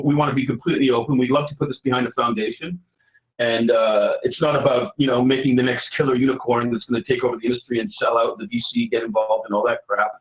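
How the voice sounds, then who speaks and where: A man's voice: medium pitch, phone-quality sound. Canadian man in an echoey room. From Vancouver, Canada. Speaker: editor and hackathon participant pitching a project. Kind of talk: informal project pitch to an online audience. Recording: roomy, echoey sound.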